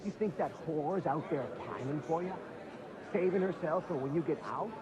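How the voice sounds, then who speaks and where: An actor playing a gossipy, jeering prison inmate in a video game, with rough, colloquial, mocking talk. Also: male voice, on the high side, normal speed, a crowd in the background, lightly acted. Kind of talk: video game cutscene acting.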